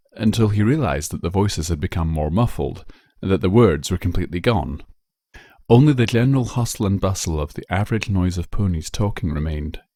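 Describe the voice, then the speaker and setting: A man with a low voice narrates a story. He reads in a measured, dramatic way, and the sound is dry, with very little room. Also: dark tone, dry recording. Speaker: narrator, fan fiction reader.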